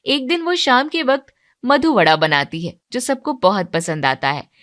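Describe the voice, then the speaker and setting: A woman's voice: fairly high pitch, sing-song, moderate pace, fairly bright tone. A woman narrating a scripted moral story as a storyteller.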